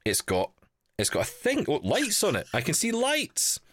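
A man's voice: high-pitched, wildly swooping pitch, normal speed, a bit bright, a little hiss or hum. A man hosting a podcast in casual, chatty style, thinking aloud with wry asides. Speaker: podcast host. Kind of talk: casual chat, live unboxing of a gadget.

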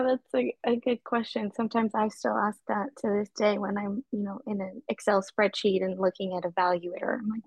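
A young woman speaks as a podcast guest, easygoing and chatty as she recounts growing up between Japan and Washington State. Her mid-pitched voice carries a touch of gravelly fry and sits dry and close on the mic. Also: dry recording, poor audio. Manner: relaxed, friendly storytelling with light laughs. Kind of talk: casual podcast interview.

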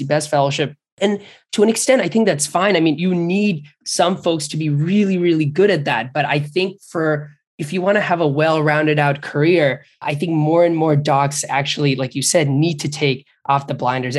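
A young man from Bangladesh hosts a podcast, talking earnestly and at length in an easy conversational way. His voice runs slightly high for a man. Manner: earnest, appreciative, talkative. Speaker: podcast host.

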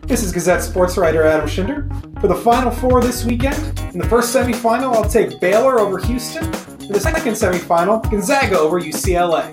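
High-voiced man, echoey, over music. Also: expressive, a little fast. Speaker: newspaper sportswriter. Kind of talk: prepared sports prediction. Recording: echoey room, music behind.

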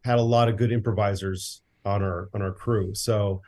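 A man's voice: lowish voice, steady tone, moderate pace, darkish tone. A man, a director and writer, guesting on a podcast, easygoing, laughing often as he tells stories. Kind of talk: casual interview.

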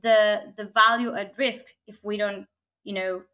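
Bright-voiced Argentine-born woman. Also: mid-range voice, fairly even intonation, a little slow. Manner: thoughtful, many fillers and restarts, frequent "right?". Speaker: guest, technology consultant. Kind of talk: podcast interview.

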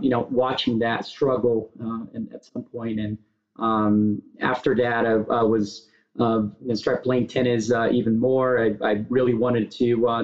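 Brazilian guy in his 30s, monotone, halting, muffled lo-fi sound. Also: mid-range voice. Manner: halting, many fillers and restarts. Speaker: guest. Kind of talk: interview answers. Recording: dull lo-fi sound.

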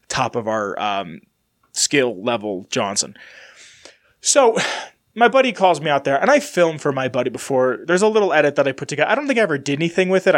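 A man's voice: medium pitch, fairly bright tone. A young man hosting his own podcast in a rambling, casual way, a little congested from a sinus infection. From United States. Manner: rambling, chatty, many fillers and restarts. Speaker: podcast host. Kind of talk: casual solo chat.

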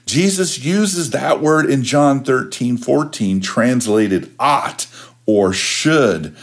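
A man who works as a pastor, reading a scripted devotional in measured, deliberate phrases with pauses. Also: on the low side, a little mellow. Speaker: junior high pastor giving a devotional.

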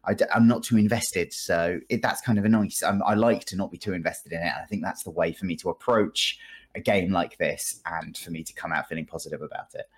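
Male podcast guest, low voice, casual and conversational, dry sardonic humour. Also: a little fast, husky. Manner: wry, sardonic, jokey. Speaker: podcast guest discussing video games.

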